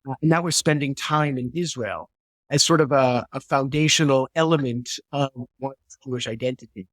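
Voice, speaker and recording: A man's voice: mid-range voice, moderate pace, fairly bright tone, dry recording. A man, a guest historian giving a thoughtful interview answer over a video call, repeating words as he searches for phrasing. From New Hampshire, USA.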